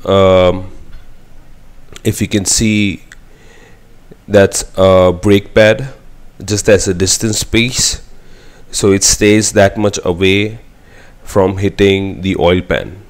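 A man from India talks slowly and steadily through his car project. His voice sits on the low side, and he explains things plainly with the odd filler. Parts of it sound like a phone recording in a garage workshop. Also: fairly even intonation. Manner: slow, matter-of-fact walkthrough with fillers. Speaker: car build vlogger. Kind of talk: casual explanatory voice-over and on-site commentary.

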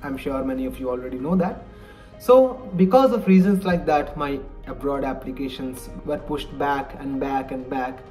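A man's voice: mid-range voice, normal speed, a little mellow, faint music behind, low-quality recording. A young man in his twenties from India, a native Bengali speaker with an Indian English accent, earnestly explaining in a personal advice vlog from a study at home. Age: 20s. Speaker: vlogger, college lecturer. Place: home study lined with bookshelves, indoors. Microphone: webcam-style framing, head and shoulders.

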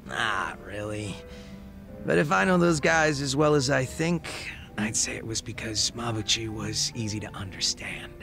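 A man voicing a laid-back, wistful gang leader in his thirties in a video game, reflective, unhurried and casually profane, with a gravelly voice. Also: on the low side, lively intonation, over music. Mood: nonchalant. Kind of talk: video game cutscene dialogue acting.

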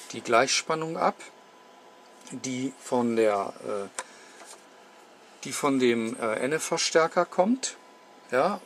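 A man's voice: mid-range voice, slight background noise. A man gives an unscripted technical explanation as a host, methodically and with frequent hesitations. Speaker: host demonstrating electronics repair. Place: indoor electronics workbench.

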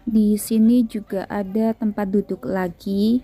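A woman's voice: mid-range voice, little pitch movement, a little slow, warm tone, faint music behind. A woman narrating a hiking vlog. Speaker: vlogger.

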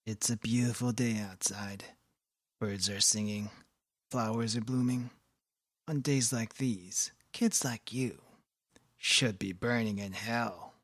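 Man as a menacing, husky skeleton. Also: on the low side, takes it slowly, fairly bright tone, no echo, clean studio sound, exaggerated voice. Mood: quietly menacing. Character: laid-back, menacing male skeleton. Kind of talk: video game character voice practice.

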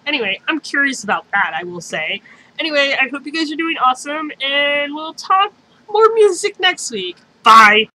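A young adult woman chatting casually to the camera in an excitable, rambling way, recorded on a camera mic in a small room. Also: sits high, normal speed. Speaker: vlogger. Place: small bedroom, indoors. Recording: clearly noisy home camera recording.

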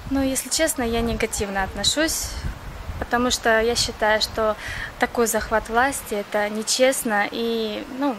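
A woman's voice: on the high side, normal speed, noisy background. A young woman from Crimea, earnestly answering interview questions in plain speech with frequent hesitations. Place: outdoors near a café.

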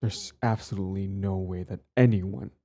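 A man's voice: low voice, steady tone, normal speed, dark tone. A young man acting a cocky, possessive and smitten bully in an audio roleplay spoken to the listener. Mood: cocky, amused. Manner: stammering, swaggering, profane.

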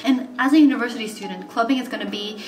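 A woman's voice: on the high side, fast. A woman in her twenties, not a native English speaker, talking frankly and casually to the camera as a student vlogger in her bedroom. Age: 20s. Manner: chatty, frank, many fillers. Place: bedroom, indoors. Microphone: camera in front at desk distance. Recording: roomy bedroom with music behind.

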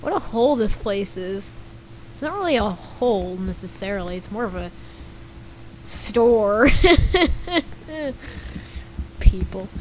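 A woman's voice: medium pitch, very animated, slow, long pauses. A young woman with a slightly scratchy voice giving casual, giggly commentary over gameplay and reacting to what she reads on screen. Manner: chatty, giggly, reads game chat aloud and reacts. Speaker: gaming YouTuber.

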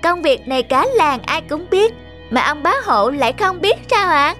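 A clever little village boy in a cartoon folk tale. The voice is high and swings freely in pitch. He speaks politely yet boldly, with pert, earnest confidence over a music bed. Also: lively intonation, normal speed, sharp tone. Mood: pert, confident. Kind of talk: cartoon fairy-tale dubbing.

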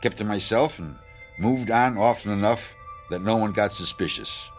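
An actor as a low-voiced, knowing janitor with hidden magic. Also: male voice, moderate pace, faint music behind, close to an everyday voice. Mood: calm menace. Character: secretly magical janitor, controlled, quietly menacing older soul. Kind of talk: radio drama acting.

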